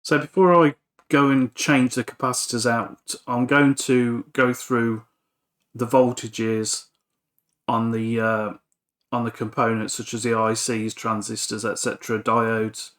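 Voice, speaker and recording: Guy doing a casual workshop talk-through, really slow, kinda hesitant, thinking out loud. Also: medium pitch. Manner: hesitant, pausing often, thinking aloud. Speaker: electronics hobbyist and repair vlogger. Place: home workshop.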